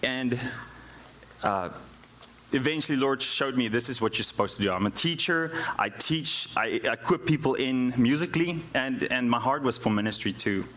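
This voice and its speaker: A man's voice: mid-range voice, lively intonation, normal speed, fairly bright tone. A South African man, a native Afrikaans speaker, giving an informal personal testimony in church, often repeating words as he searches for phrasing. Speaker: worship musician and music teacher giving a testimony.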